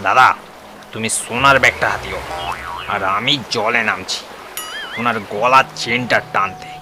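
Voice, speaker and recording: A man's voice: fairly low pitch, unhurried, natural voice. A man voicing a greedy, eager crook's sidekick in a Bengali cartoon, wheedling and conspiratorial. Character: eager greedy young male thief sidekick. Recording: loud music behind the voice.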